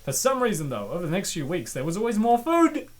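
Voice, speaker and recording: A man with a lively, widely swinging voice narrates a comic dub. He breaks in with sarcastic, exclamatory reactions as a casual co-host. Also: sits high, quick pace.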